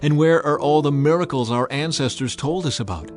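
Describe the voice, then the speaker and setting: A man's voice: fairly high pitch, brisk, close to an everyday voice. Man voicing an earnest, doubting Israelite man over music. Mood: doubtful, questioning. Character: Gideon, humble, doubting, fearful Israelite man. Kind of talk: dramatized scripture reading.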